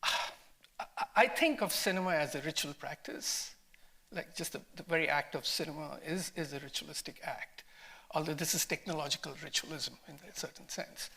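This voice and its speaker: A man's voice: a little high, animated, normal speed, creaky, sharp tone. A man who is a film director and scholar, answering on a panel in a reflective, emphatic way, repeating phrases as he thinks.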